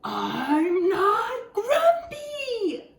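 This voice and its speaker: A woman voicing a grumpy male monkey in a picture book, stubbornly indignant, with emphatic, drawn-out protests. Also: very high-pitched, wildly swooping pitch, voice pushed up, exaggerated voice. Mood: indignant, defensive. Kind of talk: picture-book read-aloud. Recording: roomy echo, clear background noise.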